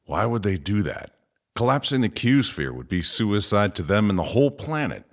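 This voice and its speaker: A man's voice: lowish voice, big pitch swings, normal speed. A man playing a blunt, challenging spaceship crew member in a sci-fi audio drama, questioning others.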